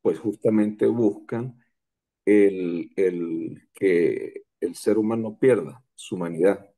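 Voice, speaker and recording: A man's voice: low-pitched, takes it slowly, warm tone. A man in his forties from Colombia, raised in Venezuela, giving reflective remarks at a conference with frequent hesitations and restarts. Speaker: conference participant. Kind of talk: slow, halting reflective remarks.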